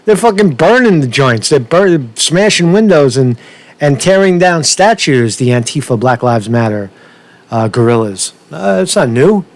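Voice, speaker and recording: A man hosts a loose, casual news commentary in a somewhat high-pitched voice. He swears freely and talks in slang, often repeating himself and stumbling as he rambles from one point to the next. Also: expressive, normal speed, no echo. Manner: profane, slangy, repetitive and stumbling. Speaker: host of his own news commentary show.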